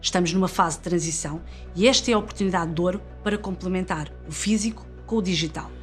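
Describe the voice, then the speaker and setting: A woman's voice: on the low side, quick pace. A woman narrator with a European Portuguese accent delivers a scripted business report. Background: music.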